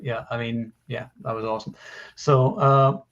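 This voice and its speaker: A man's voice: medium pitch, fairly even intonation, moderate pace, fairly bright tone. Guy in his 40s hosting a movie chat, rambly and keen, kinda muffled lo-fi stream sound. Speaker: host of a live film discussion show. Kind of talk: casual film chat. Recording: muffled lo-fi livestream audio.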